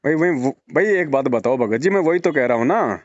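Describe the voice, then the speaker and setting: Male, fairly high voice, argumentative and insistent, dull phone-call recording. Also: expressive, a little fast, no echo. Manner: argumentative, rhetorical questions, repetitive emphasis. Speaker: religious devotee speaking to a follower. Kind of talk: persuasive phone conversation.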